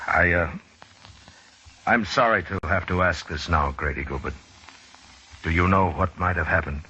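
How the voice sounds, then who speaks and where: An actor playing a steady, weary frontier lawman in a 1950s radio western, measured, earnest and understated. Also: low voice, a little hiss or hum. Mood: concerned, cautious. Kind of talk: 1950s radio drama acting. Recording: dull old radio recording with music.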